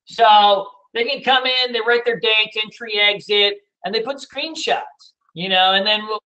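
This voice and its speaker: Man, middle-aged, bright forceful voice, loud, blunt, profane, casual trading teacher. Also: high-pitched, low-quality recording. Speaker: trading educator. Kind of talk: casual screen-share teaching.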